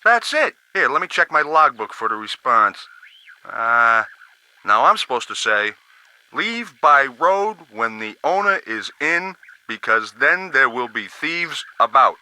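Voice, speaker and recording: Bright folksy chatty radio buddy. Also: male voice, medium pitch, very animated, unhurried, no echo, full character voice. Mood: obliging. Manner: folksy, chatty, plain-spoken. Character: folksy, easygoing, chatty male radio operator. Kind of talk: scripted video game dialogue acting.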